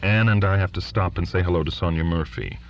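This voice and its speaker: A man's voice: low voice, fast talker, lo-fi sound, a little hiss or hum. A man voicing a restrained, weary middle-aged male writer who speaks tersely.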